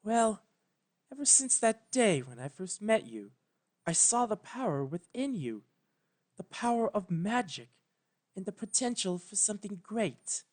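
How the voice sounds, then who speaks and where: A man acts out a regal female alicorn, a motherly sun goddess from a storybook fantasy. The voice is lifted high and soft, melodic and gentle, with careful, composed, unhurried phrasing. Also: sing-song, full character voice. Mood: careful, tender. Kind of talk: fan fiction storytelling.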